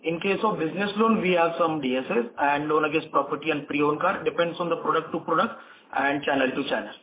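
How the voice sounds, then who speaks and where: A man with an Indian accent answering analysts as a company executive on an earnings call, haltingly and repeating words, over a phone conference line. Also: a little high, narrow, tinny audio.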